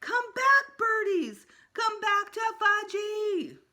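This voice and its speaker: Woman voicing a high, squealing little toddler boy. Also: lively intonation, slow, pushed and bright, exaggerated voice. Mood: excited calling. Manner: squealing, hollering, short repeated phrases. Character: excitable toddler boy, gleeful, stubborn, shrieking.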